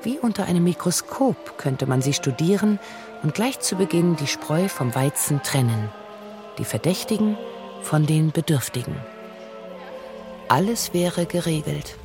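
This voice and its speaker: High-pitched wistful male narrator over music. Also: lively intonation, a little slow. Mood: reflective. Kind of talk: literary radio drama narration.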